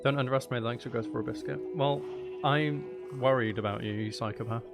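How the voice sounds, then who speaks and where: A man's voice: mid-range voice, steady tone, fast, music in the background. A man thinking aloud as he plays a building game, chatting casually with musing, playful asides. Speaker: streamer playing a building game.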